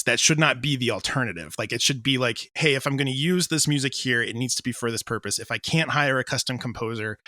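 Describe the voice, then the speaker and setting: A man's voice: medium pitch, sharp tone, no echo, studio quality. A man speaking casually as an interview guest, explaining his creative work and voicing his own thoughts as quotes. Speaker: composer and audio drama creator interviewed as a guest.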